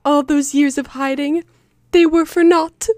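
A woman's voice: high voice, fairly even intonation, measured pace, darkish tone, no room sound, clean studio sound, pitched below the performer's own voice. A woman voicing a lovesick teenage girl in a melodramatic, mournful way for a comic dramatic reading. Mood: mournful resignation.

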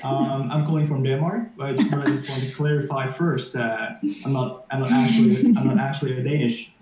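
A man's voice: mid-range voice, moderate pace, roomy sound, a crowd in the background, rough recording. Hesitant male caller in a crowded room. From Vietnam. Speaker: call-in caller. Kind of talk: phoned-in casual chat.

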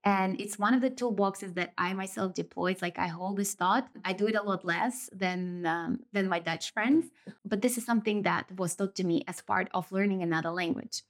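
A native Russian-speaking woman with a mid-range pitch talks alone as a podcast host. She explains her ideas in a thoughtful, reflective way, stretching some phrases and rewording others as she goes. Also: moderate pace. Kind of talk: reflective solo podcast monologue.

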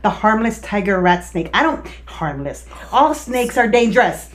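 A woman's voice: mid-range voice, very animated, normal speed. A woman co-hosting a podcast in casual chat, speaking with a lisp, laughing often and swearing freely. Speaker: podcast co-host.

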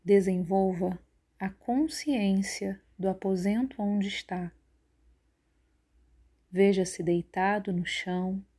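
A woman leading a guided yoga nidra relaxation in a calm, measured, instructive way. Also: medium pitch, breathy edge. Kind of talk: guided relaxation meditation narration.

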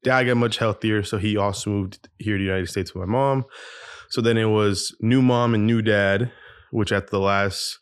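A man's voice: fairly low pitch, steady tone, normal speed, smooth, no room sound, clean studio sound. A man in his twenties from Peru, a podcast guest talking openly about his life at home, reflective and full of ums and restarts. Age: 20s. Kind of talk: casual interview storytelling.

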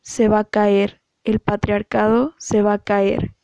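Mexican woman reading out her statement slow and even, mellow voice, dry close sound. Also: mid-range voice, no echo, dull audio. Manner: earnest, measured, emphatic. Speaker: guest speaker. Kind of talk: prepared testimony.

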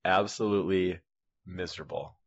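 A man's voice: sits low, normal speed, husky, no echo. A man co-hosting a podcast, telling rambling everyday stories in casual chat. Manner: rambling storyteller, acts out dialogue. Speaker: podcast co-host. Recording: dull lo-fi sound.

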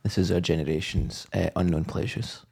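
A man in his thirties with a low voice, co-hosting a casual podcast and trading dry, crude jokes. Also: steady tone, brisk, creaky, mellow, breathy edge. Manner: dry, jokey, crude humor. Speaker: podcast co-host. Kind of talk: casual chat.

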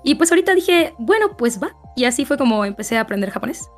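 A young Mexican woman answers simply and gently, her voice slightly high. Faint music plays under her words. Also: big pitch swings, quick pace. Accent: Mexican Spanish. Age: 20s. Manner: concise, gentle. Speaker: language teacher. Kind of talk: casual group chat.

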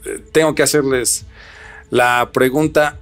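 A man's voice: mid-range voice, fairly even intonation, a little slow, a bit bright, clear, resonant tone, soft background music. A man hosting a podcast, moving between prepared introductions and casual chat. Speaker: podcast host.

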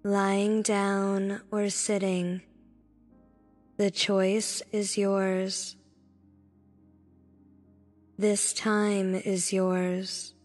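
A woman narrating a guided meditation in a soothing, deliberate manner, speaking in short instructive phrases. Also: medium pitch, little pitch movement, darkish tone. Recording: long reverb with background music. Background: music.

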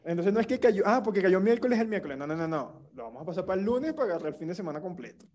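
Mellow-voiced Venezuelan man chatting. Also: high voice, racing. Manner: relaxed storytelling with slang and restarts. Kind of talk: casual chat.

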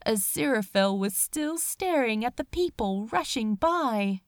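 Female amateur audiobook reader, lively melodic delivery, unhurried dramatic pauses, dry close sound. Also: a little high, dry recording. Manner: measured, expressive storytelling with dramatic pauses. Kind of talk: dramatic scripted narration of fan fiction.

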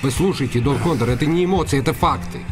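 A man's voice: mid-range voice, fast, music in the background, close to an everyday voice. An actor playing a passionate, impatient young nobleman in a radio drama, speaking earnestly and insistently. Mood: heated, urgent. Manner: earnest, insistent, formal address.

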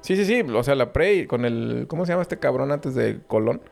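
Gravelly young Mexican guy, laid-back. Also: mid-range voice, expressive, brisk, a little mellow, faint music behind. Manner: slangy, laid-back, profane banter. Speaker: podcast co-host. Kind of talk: casual chat.

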